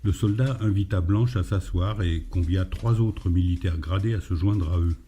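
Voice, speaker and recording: A man's voice: sits low, normal speed, warm tone, slight background noise. A man narrating a literary story from a script. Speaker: narrator of a fiction.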